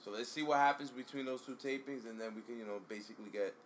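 A man co-hosting a casual chat, talking in a relaxed, hesitant way with trailing phrases. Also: mid-range voice, fast, slight background noise. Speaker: podcast co-host.

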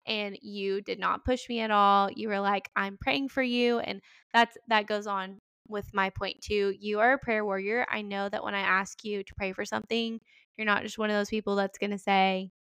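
A woman's voice: mid-range voice, creaky, smooth, no echo, studio quality. A woman in her twenties, co-hosting a podcast as a casual, earnest chat with a friend, full of "like" fillers. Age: 20s. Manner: warm, earnest, lots of "like" fillers. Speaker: podcast co-host, teacher.

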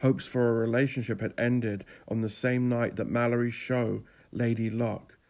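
A man's voice: medium pitch, flat delivery, normal speed, a little mellow. A man reading scripted literary fiction as a narrator.

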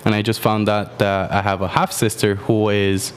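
A man in his twenties, a guest on a podcast, speaking in a plain, earnest and measured way. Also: lowish voice, little pitch movement, slight background noise. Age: 20s. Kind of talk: casual interview chat.

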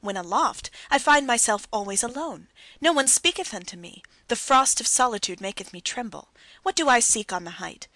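A woman's voice: fairly high pitch, animated, moderate pace, bright, forceful tone, no echo. A woman reading the part of a troubled, despairing young man in an audiobook, pleading and impassioned. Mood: anguished.

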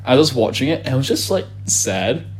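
A man's voice: medium pitch, big pitch swings, a little fast, breathy edge, faint music behind, poor audio. A young man from New Zealand with a New Zealand accent, chatting casually as a podcast co-host, rambling, swearing freely and laughing often. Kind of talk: casual chat.

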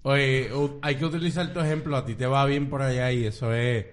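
A man's voice: mid-range voice, fairly even intonation, fairly quick. A man with a Puerto Rican accent in a casual group chat, crude, teasing and full of slang. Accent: Puerto Rican Spanish.

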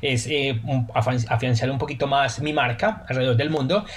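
A man's voice: mid-range voice, brisk. A Colombian man, a pastry chef, speaking as a podcast guest in an earnest way, often repeating words as he searches for them. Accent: Colombian Spanish. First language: Spanish. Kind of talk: casual podcast interview.

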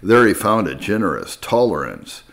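A man narrating a historical text in a measured, formal audiobook style. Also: lowish voice, huge pitch swings, gravelly, fairly bright tone. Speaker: narrator reading history aloud.

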